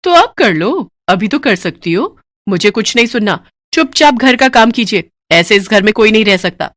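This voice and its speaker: A woman's voice: mid-range voice, lively intonation, normal speed, fairly bright tone, no room sound, natural voice. A woman voicing a bossy, greedy young daughter-in-law who scolds and orders people around in a storybook drama. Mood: scolding. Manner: haughty, scolding, commanding.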